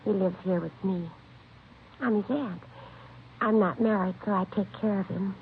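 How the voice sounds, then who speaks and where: Woman acting as protective middle-aged aunt, creaky voice, hesitant, defensive, polite, tinny vintage radio recording. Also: mid-range voice, moderate pace, lightly acted. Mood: anxious, defensive. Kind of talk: 1950s radio drama acting. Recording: tinny, faintly noisy old radio recording.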